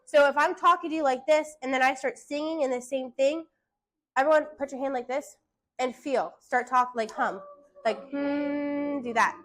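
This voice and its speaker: A woman voice teacher giving classroom singing instruction, hushing her students and checking in with "okay?" after nearly every point. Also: high-pitched, fairly even intonation, moderate pace.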